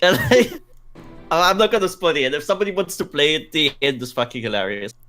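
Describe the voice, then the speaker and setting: A man's voice: a little high, expressive, brisk, sharp tone, soft background music. A man co-hosting a gaming podcast, speaking very informally and swearing freely. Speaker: podcast co-host. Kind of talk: casual gaming podcast chat.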